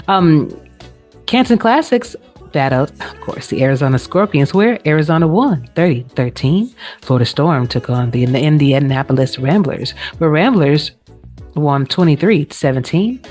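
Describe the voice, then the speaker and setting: A woman's voice: sits low, a little mellow. A woman hosting a casual sports recap show, talking in a chatty, informal way. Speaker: show host.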